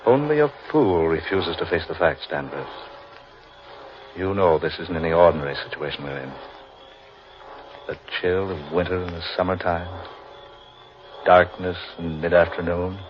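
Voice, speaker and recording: An actor playing a grim, fatalistic man lost in a haunted forest, speaking ominously and deliberately in old-time radio horror style. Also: low-pitched, close to an everyday voice. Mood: grim, foreboding. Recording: dull, lo-fi old radio recording.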